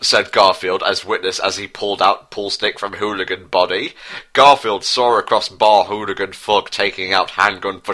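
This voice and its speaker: Forceful, lowish-voiced man narrating a mock-dramatic reading. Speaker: reader and co-host.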